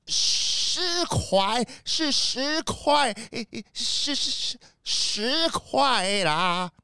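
A woman's voice: fairly high pitch, lively intonation, extremely slow, bright, forceful tone, smooth, no echo, full character voice. A woman voicing a timid, flustered old male goat who stammers and bleats at the ends of phrases, in Taiwanese Mandarin, for a children's audio drama. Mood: nervous, flustered.

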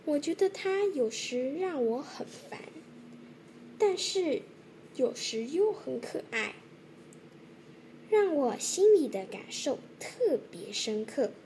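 A schoolgirl speaks from a script, high and very slowly, with lively intonation. She has a Taiwanese Mandarin accent. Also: slight background noise. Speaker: student author. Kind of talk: scripted self-introduction.

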